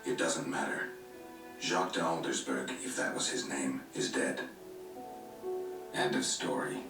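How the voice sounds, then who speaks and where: Laconic fantasy game monster hunter: rock-bottom pitch, gravelly, world-weary, over faint music in a roomy playback. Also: male voice, a little slow, far from the mic. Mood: resolute, reflective. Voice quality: gravelly, low. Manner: terse, flat, understated. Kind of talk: video game cutscene dialogue.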